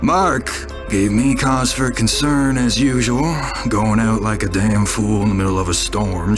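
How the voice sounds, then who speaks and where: A man plays a folksy, dutiful camp staffer with a lowish voice. He recounts events in a measured way, as if writing a diary. Music plays clearly behind this horror podcast monologue. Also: natural voice.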